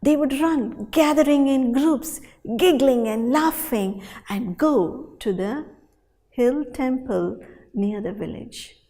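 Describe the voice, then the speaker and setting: A woman storyteller telling a folktale in a dramatic, animated way, with deliberate pauses and repetition. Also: sits high. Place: hall at a storytelling festival. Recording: reverberant hall sound.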